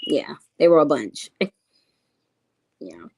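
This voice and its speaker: A woman's voice: low voice, expressive, slow, husky. A woman from New York City, a music artist, chatting casually in an interview and rambling through her answers with many fillers.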